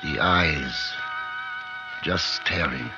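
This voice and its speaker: An actor playing an obsessive, frightened scientist, urgent and dramatic, in a vintage radio horror play. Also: male voice, a bass voice, extremely slow, music in the background, exaggerated voice. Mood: uneasy fascination. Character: obsessive, frightened male scientist protective of his creation. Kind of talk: 1940s-50s radio horror acting. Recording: muffled, noisy old radio recording.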